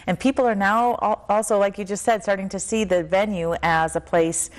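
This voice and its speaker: A woman's voice: medium pitch, steady tone, moderate pace. An American woman chatting casually and informatively as a guest on a talk show.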